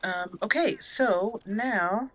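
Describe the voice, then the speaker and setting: A woman giving casual, excitable commentary while playing a video game, talking to the characters as she goes. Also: mid-range voice, very slow. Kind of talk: casual let's play commentary.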